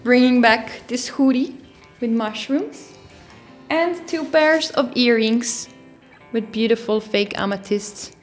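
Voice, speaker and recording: A young woman speaks in a fairly high voice, reflective and easygoing, with soft "um"s as she narrates her vlog. Faint music plays underneath. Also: slow pace. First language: Dutch. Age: young adult. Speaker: YouTuber.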